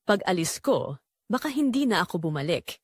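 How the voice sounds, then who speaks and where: A woman playing an unhappy wife and mother with a Filipino accent, confessing her betrayal in measured, reflective first-person audio drama narration. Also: on the low side, very animated, husky, no room sound, studio quality. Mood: troubled, resolute.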